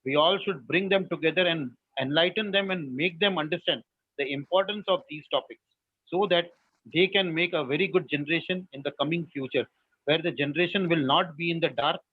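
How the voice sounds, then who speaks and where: A man's voice: fairly high pitch, slightly rough, no room sound. Earnest Indian man on a tinny call. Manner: earnest, speechmaking. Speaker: English and maths teacher. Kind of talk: online round-table discussion. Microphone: video call. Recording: dry, tinny phone-like call audio.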